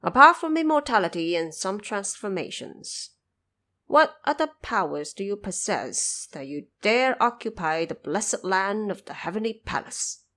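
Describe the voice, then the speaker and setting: A woman voicing a lofty male Buddha, solemn, measured and stern, in an audio drama. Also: medium pitch, expressive, sharp tone. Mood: challenging. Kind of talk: audio drama character acting.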